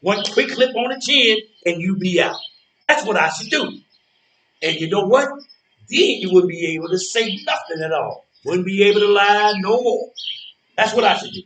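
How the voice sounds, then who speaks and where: A man acting in a stage play as a bitter, menacing older man on a park bench, making slow, deliberate threats in folksy phrasing. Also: high voice, fairly bright tone, a little hiss or hum. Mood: threatening. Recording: roomy, echoey, distant from microphone.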